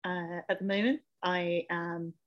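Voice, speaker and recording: A woman's voice: lowish voice, fairly even intonation, unhurried, muffled recording. A young woman from England, a podcast guest, talking casually with many ums and self-corrections as she thinks aloud. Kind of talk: casual interview conversation.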